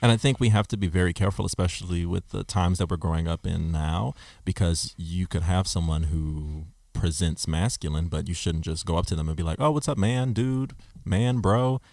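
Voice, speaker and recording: Deep-voiced man musing at length. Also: normal speed, vocal fry, darkish tone, dry recording. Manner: reflective, speaks in long explanatory runs. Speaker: podcast host. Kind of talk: casual discussion.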